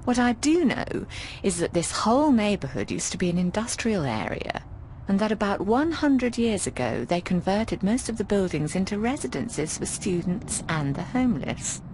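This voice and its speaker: A woman's voice: medium pitch, sing-song, moderate pace, darkish tone, a little hiss or hum, natural voice, low-quality recording. An actress playing a worldly, teasing middle-aged landlady in a video game, breezy and wry, with a theatrical drawl. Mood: breezy, informative. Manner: theatrical, drawling, calls people darling. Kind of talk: video game dialogue acting.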